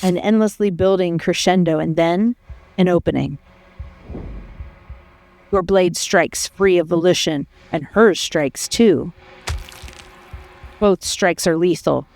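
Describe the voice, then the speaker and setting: A woman playing a solemn, poetic storyteller, reading scripted game narration in a measured way. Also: lowish voice, a little mellow. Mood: solemn intensity.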